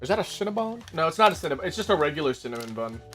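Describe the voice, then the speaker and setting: A man's voice: fairly high pitch, sing-song, rapid-fire, a bit bright, faint music behind. A man streaming a casual chat, rambling to his viewers while making coffee. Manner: chatty, rambling, talks to chat.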